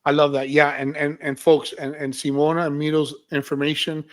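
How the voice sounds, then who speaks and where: A man's voice: on the high side, little pitch movement, fairly quick. A man hosting a casual interview show, emphatic, repeating his points and checking in with listeners. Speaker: show host.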